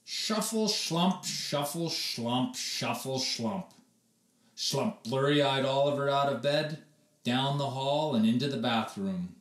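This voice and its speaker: A man narrates a picture book in a slow, deliberate way. His pitch is very animated, with theatrical emphasis and pauses, and the room adds a clear echo. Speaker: read-aloud storyteller, teacher. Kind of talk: expressive children's storybook narration.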